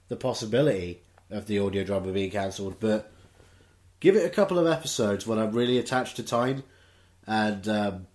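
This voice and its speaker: A man talking casually in an interview about his work, with a halting, hesitant manner. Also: on the low side, darkish tone. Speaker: voice actor, cast member.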